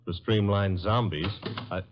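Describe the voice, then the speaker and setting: An actor playing a brash, flirtatious detective's assistant, glib and slangy, in 1940s radio drama style. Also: male voice, sits low, measured pace, slight background noise. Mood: cheeky. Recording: dull vintage radio recording.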